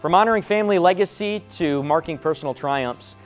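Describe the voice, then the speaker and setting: A man's voice: fairly high pitch, brisk, soft background music. A man presenting scripted sports feature introductions as a studio host.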